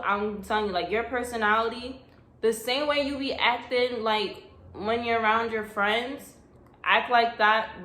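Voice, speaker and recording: A woman's voice: medium pitch, pushed and bright, a little hiss or hum. Sassy, chatty young woman vlogger. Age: young adult. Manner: chatty, blunt and sassy, acts out voices. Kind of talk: casual advice vlog. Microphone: phone camera. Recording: echoey room.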